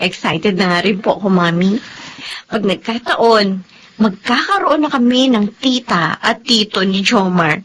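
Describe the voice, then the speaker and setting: An actress playing a caring, respectful grown daughter in a Filipino melodrama, gentle and polite, with noise behind her. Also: mid-range voice, expressive, unhurried, breathy edge. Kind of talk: emotional Filipino melodrama acting. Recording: noisy ambient background.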